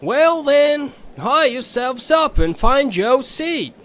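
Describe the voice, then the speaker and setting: A very high-voiced riverboat captain in an audio story, folksy and sly. His flowery drawl swoops theatrically up and down, with slight hiss behind it. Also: big pitch swings, takes it slowly, heavily acted. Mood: courteous.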